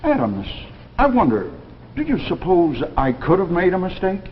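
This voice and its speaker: Irascible lab chief, dramatic, very animated pitch, 1950s radio acting, muffled old recording. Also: male voice, normal speed, slight background noise. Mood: stunned, despairing. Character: proud, irascible male scientist in charge of laboratory.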